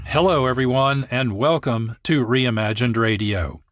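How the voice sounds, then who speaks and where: A man's voice: mid-range voice, a little slow. A man hosting a radio program with scripted documentary narration. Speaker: producer and host.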